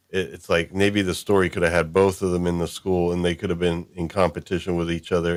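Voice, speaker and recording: Deep-voiced man chatting informally. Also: no echo. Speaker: podcast host. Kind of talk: casual discussion of a fan story.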